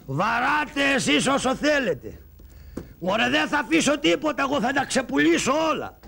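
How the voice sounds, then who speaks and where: Shadow-puppet trickster: poor, scheming, wisecracking, gravelly and high, played by a man. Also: big pitch swings, heavily acted. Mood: griping. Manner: mocking wisecracks, bursts into loud street-crier shouts. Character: poor, cheeky, scheming male trickster of middle age. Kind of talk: Greek shadow-puppet theatre.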